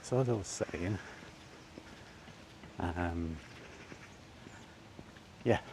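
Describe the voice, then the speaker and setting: British man, low voice, unhurried rambling monologue, hesitant and a bit grumbly, noisy outdoor recording. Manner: hesitant, meandering, mildly grumbling. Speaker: vlogger. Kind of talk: rambling casual monologue while out walking. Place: outdoors, walking in a park.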